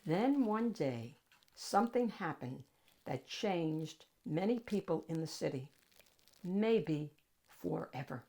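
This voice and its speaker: A woman reading a picture book aloud as a storytime host, addressing young listeners with dramatic pauses and drawn-out key words. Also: low voice, expressive, mellow.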